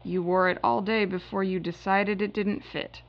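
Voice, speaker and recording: An actress playing an exasperated, sarcastic retail floor manager in an audio drama, with a customer-service manner that turns incredulous. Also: female voice, medium pitch, steady tone, moderate pace, lightly acted. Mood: exasperated incredulity. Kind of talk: naturalistic audio drama dialogue. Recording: dull lo-fi bugged line, faint noise.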